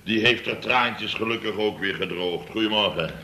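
A man's voice: lowish voice, normal speed, lightly acted. A man playing a pompous, blustering middle-aged boss in a Dutch radio comedy serial, stammering and repeating himself. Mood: blustering, flustered. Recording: slightly noisy vintage radio recording.

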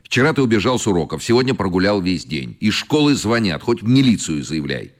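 A man's voice: very animated, brisk. A man playing a stern, worried father of a schoolboy in a radio play. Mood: stern, agitated. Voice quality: low, gravelly.

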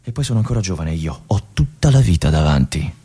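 An actor playing a disillusioned young army lieutenant at a remote fortress, speaking to his colonel in a confiding, pleading monologue with weighty pauses. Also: low voice, big pitch swings, dark tone, breathy edge, exaggerated voice. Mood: bitter. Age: young adult. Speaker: stage actor. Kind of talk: theatrical monologue addressed to a colonel.

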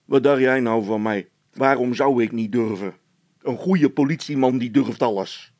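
A man voicing a gruff village constable in a children's audiobook, blustering and dismissive but secretly timid. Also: mid-range voice, moderate pace, a little mellow, no echo, natural voice. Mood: blustering, uneasy.

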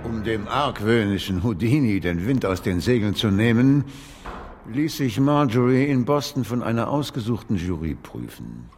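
An actor playing a proud, grieving elderly gentleman author in a German radio drama, formal and easily indignant. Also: fairly low pitch, animated, moderate pace, long reverb tail, faint music behind. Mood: recounting. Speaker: narrator and lead character.

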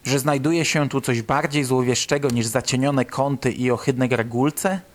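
A man's voice: medium pitch, fairly even intonation, normal speed, a little hiss or hum. A man reading scripted, literary narration for an audio drama.

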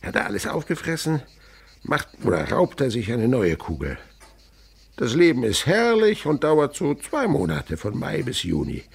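A man's voice: medium pitch, huge pitch swings, normal speed, vocal fry, husky, full character voice. An actor playing an enthusiastic rural insect researcher and father, lecturing children in a rhapsodic, theatrical way in a radio drama. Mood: tender wonder. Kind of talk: German radio drama acting.

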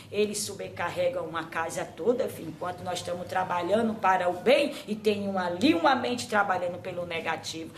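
A woman's voice: mid-range voice, lightly acted. Old grandmother spirit, patient counselor, trailing phrases, mid voice, faint hiss, as a woman channels her. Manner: slow, repeats "my son", trailing off softly. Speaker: spirit medium.

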